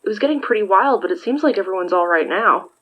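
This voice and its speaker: A woman's voice: medium pitch, lively intonation, fast talker, muffled recording, close to an everyday voice. A woman playing a friendly, casual young woman in a supernatural audio drama. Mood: relieved, casual.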